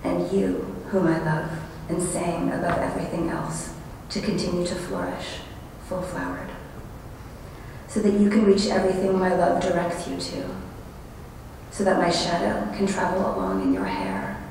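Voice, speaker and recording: A woman giving a prepared memorial tribute and poetry reading with measured, solemn phrasing. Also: fairly low pitch, animated, a little mellow. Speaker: college friend speaking at a memorial. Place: large echoing hall at a memorial service. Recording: very echoey hall, distant, noisy ambience.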